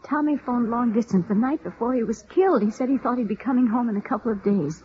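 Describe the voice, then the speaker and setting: A woman's voice: a little high, fast, mellow, natural voice. An actress with an American accent playing a grieving, bitter widow in her thirties, brooding and intense, in 1950s radio drama style. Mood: insistent, accusing. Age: 30s. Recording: muffled, hissy vintage radio recording.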